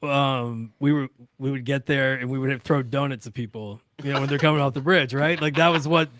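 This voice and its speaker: A man talking as a radio-industry guest, telling casual anecdotes in an animated, unguarded, salty-tongued way. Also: medium pitch, fairly quick, dry recording. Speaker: radio broadcaster, podcast guest.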